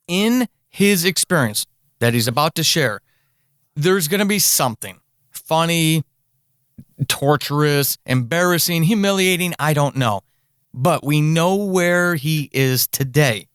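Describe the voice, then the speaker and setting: A man's voice: a little high, animated, measured pace, a bit bright, no echo, clean studio sound. A man hosting a podcast interview, speaking conversationally in an earnest, encouraging way and repeating words for emphasis. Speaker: podcast host.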